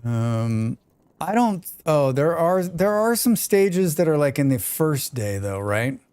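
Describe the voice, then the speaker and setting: A man's voice: a little high, big pitch swings, slow pace, darkish tone, clear, resonant tone, no room sound. Chatty American man streaming a game. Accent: General American. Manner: rambling, chatty, reads chat aloud. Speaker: live streamer. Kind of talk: casual chat while gaming.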